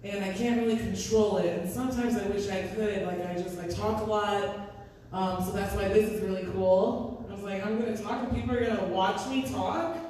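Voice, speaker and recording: A woman in her thirties with a raspy voice, a singer-songwriter chatting on stage between songs in a live music venue, rambling and self-deprecating. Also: medium pitch, fairly even intonation, moderate pace, a little mellow, a little hiss or hum. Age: 30s. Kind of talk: casual stage banter. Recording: echoey room, mic from a distance.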